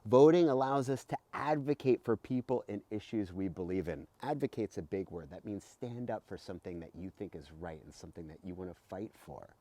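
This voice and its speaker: A middle-aged American man, a teacher reading aloud to children with an expressive, emphatic delivery and stretched key words, recorded outdoors at close range. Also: fairly low pitch, a little fast, hardly any pauses, no echo. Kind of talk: animated children's read-aloud with asides. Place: outdoors beside a house in a garden.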